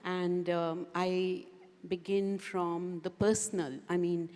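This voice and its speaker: A woman answering as a conference panelist, speaking thoughtfully with many pauses and fillers. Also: on the low side, level tone, darkish tone. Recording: echoey conference hall.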